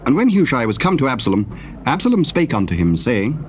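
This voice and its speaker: A man narrating scripture from a script in a measured, formal manner, on a hissy old cassette. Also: mid-range voice, sing-song.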